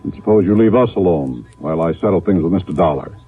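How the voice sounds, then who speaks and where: Firm father, low, tinny radio. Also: lively intonation, fast, breathy edge, soft background music. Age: middle-aged. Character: wealthy father, firm older husband. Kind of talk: old-time radio drama acting. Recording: tinny, noisy old radio recording.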